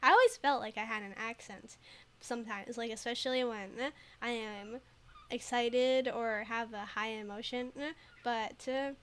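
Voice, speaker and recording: A woman's voice: a little high, steady tone, a little slow, a bit bright, clear, resonant tone. A giggly, rambling woman with a very slightly tinged American accent, a native Mandarin speaker, giving casual commentary as she streams a game. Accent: American with a very slight foreign tinge. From United States, moved there very young.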